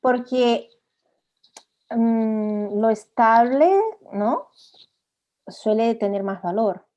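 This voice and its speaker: A woman's voice: on the high side, very slow, mellow, muffled recording. A woman who is a native Portuguese speaker, co-hosting a casual discussion. She speaks tentatively and searches for her words. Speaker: discussion co-host. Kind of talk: casual conversational dialogue.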